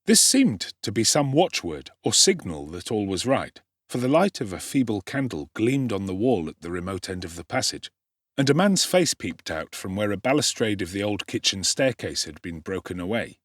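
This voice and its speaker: Husky, expressive male narrator. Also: fairly low pitch, moderate pace, dry recording. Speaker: audiobook narrator and actor. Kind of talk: dramatic scripted narration of a classic novel.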